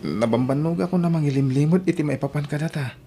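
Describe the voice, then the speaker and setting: A man's voice: fairly high pitch, fast talker, warm tone, a little hiss or hum, close to an everyday voice. A man with an Ilocano accent acting an emotional, earnest married man in an audio drama. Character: pleading, emotional married man in a radio melodrama.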